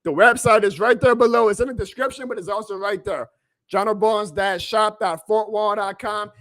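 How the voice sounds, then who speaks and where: A man hosting a live sports reaction stream, excitable and emphatic, his voice going hoarse. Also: high voice, moderate pace, no echo, clean studio sound. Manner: excitable, emphatic, plugs merch, reads chat aloud. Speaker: sports fan livestream host.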